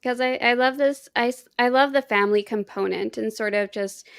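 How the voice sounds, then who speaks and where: Creaky-voiced woman book club co-host. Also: on the high side, fairly quick, dry recording, clean studio sound. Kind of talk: casual interview chat.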